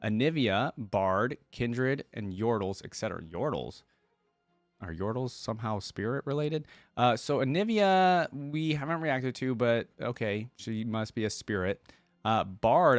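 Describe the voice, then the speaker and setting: A man hosting a reaction video, reading aloud and commenting casually, musing as he thinks out loud in a conversational way. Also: medium pitch, animated, normal speed, no echo.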